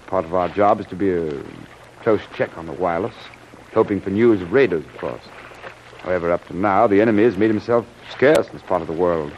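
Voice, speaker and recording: Casting: man to play chatty British naval officer, lowish voice, clipped and hearty; noisy vintage radio sound. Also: lightly acted. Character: genial British Royal Navy submarine commander, frank and chatty. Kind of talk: old-time radio drama acting. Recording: muffled, noisy old radio recording.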